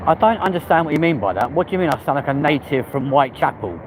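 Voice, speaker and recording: A man's voice: fairly high pitch, sing-song, fast. A young man with a London accent, chatting casually with viewers on a live stream and using London slang. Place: outdoors on a busy city street. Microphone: handheld phone. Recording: phone audio with loud street noise.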